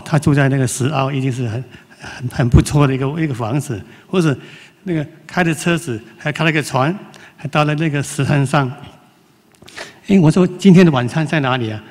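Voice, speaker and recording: A man giving an informal speech to an audience, halting with many restarts and fillers. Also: a little high. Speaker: forum speaker. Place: large lecture hall. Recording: long hall reverb.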